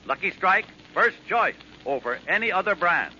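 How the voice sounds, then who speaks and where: A man's voice: high voice, animated, moderate pace, bright, forceful tone, faint music behind. A man delivering 1940s radio advertising slogans in a punchy, declamatory way. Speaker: commercial announcer. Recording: muffled old radio with faint noise.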